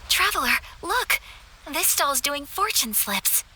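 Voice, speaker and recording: A woman's voice: high-pitched, big pitch swings, slow, a little hiss or hum, heavily acted. An actress voicing a graceful, modest young noblewoman who speaks formally and a little shyly, in a game cutscene. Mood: earnest. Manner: formal, gentle and humble, a little flustered.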